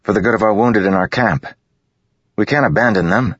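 A man's voice: on the low side, fairly quick, fairly bright tone, slightly rough, no room sound, voice pushed up. A man voicing a determined young male soldier who leads a bridge crew, speaking in an urgent, commanding way. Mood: eager, persuasive. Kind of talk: audiobook character reading. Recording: dull, lo-fi dry recording.

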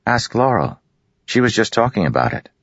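A man's voice: fairly low pitch, big pitch swings, normal speed, gravelly, breathy edge, no room sound, pitched above the performer's own voice, exaggerated voice. Cal, a man voicing an awkward bookish boy. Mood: explaining. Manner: careful, knowing explanations. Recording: dull lo-fi recording.

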